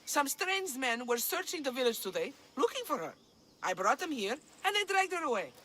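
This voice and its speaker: An actress playing a hot-headed, scornful village sister in a video game cutscene, agitated and dismissive. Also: female voice, sits high, big pitch swings, a little fast, sharp tone, slight background noise, full character voice. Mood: angry, dismissive.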